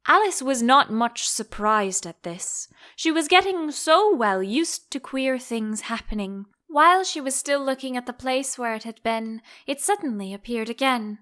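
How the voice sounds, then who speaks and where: A woman reading a children's story as an audiobook narrator, in expressive scripted narration. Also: high voice, measured pace, hardly any pauses.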